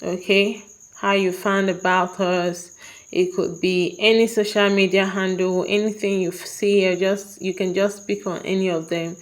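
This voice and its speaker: A woman's voice: mid-range voice, flat delivery, unhurried, rough recording. A young woman with a Nigerian accent, patiently guiding viewers step by step through an online form and checking in with "okay?". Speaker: tutorial vlogger. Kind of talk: step-by-step screen walkthrough.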